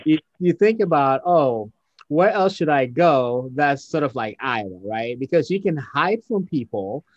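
A man's voice: fairly high pitch, animated, measured pace, dry recording, lo-fi sound. A man who came to the United States from another country, interviewing a guest in a reflective, conversational way and voicing his own inner thoughts. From outside the United States. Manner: reflective, many fillers, acts out inner thoughts.

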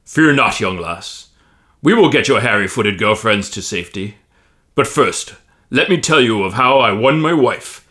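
A man voicing a huge, vain, jolly forest man who booms and sings his lines in a comic audio story. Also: expressive, unhurried, bright, forceful tone. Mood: boastful. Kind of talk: comic audio fan fiction.

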